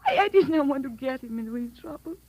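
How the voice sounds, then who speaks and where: A woman's voice: sits high, expressive, fast, a little mellow. A woman playing an anxious, guarded foreign fugitive in an old radio drama, in hesitant, formal English with nervous repetitions. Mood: anxious, confessing. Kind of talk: 1940s-50s radio drama acting.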